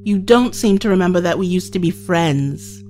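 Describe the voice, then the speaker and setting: A woman's voice: fairly low pitch, lively intonation, moderate pace, darkish tone, faint music behind, natural voice. A woman playing a time traveller's hurt young companion in an audio drama, earnest and reproachful.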